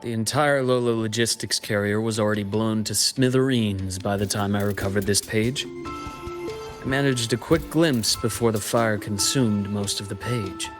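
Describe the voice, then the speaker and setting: An actor playing a grave, composed man with a bitter grudge in a video game, speaking in a measured, restrained way. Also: fairly low pitch, close to an everyday voice. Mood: somber. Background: game music.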